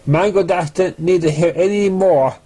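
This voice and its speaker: A person reading fan fiction aloud in a halting, stumbling amateur delivery. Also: mid-range voice, warm tone.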